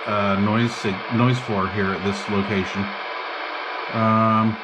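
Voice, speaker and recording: A man's voice: lowish voice, flat delivery, takes it slowly. Rambling man over noisy radio static. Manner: rambling, thinking aloud, slangy. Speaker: hobbyist host demonstrating and testing an old CB radio. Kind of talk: casual unscripted bench-test chat. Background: CB radio receiver hiss and chatter.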